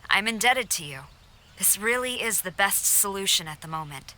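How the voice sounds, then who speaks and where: An actress playing a stern, dutiful female army general in a video game, speaking in a formal, measured, authoritative way. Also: medium pitch, animated, sharp tone, slight background noise. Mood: composed, assured.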